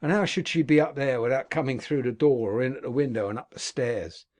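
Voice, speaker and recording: A man's voice: mid-range voice, brisk, lightly acted. Male reader as a cold sneering swindler, contemptuous, clipped. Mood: scornful. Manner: contemptuous, sharp.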